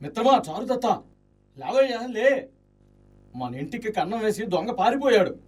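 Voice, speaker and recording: A talkative, bantering companion to a ruined nobleman in a radio play. The voice is high for a male and sits back from the microphone, with theatrical, exclamatory delivery. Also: sing-song, moderate pace, slightly rough, far from the mic, full character voice. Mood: alarmed, urgent. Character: Maitreya, talkative jesting loyal male friend of a ruined nobleman. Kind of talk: Indian radio play acting.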